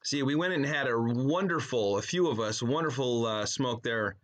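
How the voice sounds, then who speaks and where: American guy guesting on a podcast, average pitch, joking around in a dry casual way. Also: lively intonation, moderate pace, a bit bright. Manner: wry, joking. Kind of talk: casual chat.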